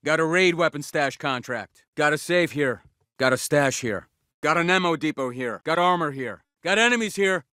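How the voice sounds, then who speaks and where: Forceful, clipped special forces soldier. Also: male voice, fairly high pitch, clear, resonant tone, no echo, studio quality. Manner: clipped, terse, commanding. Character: tough, battle-ready male special forces soldier. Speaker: video game voice actor. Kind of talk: military shooter game callouts.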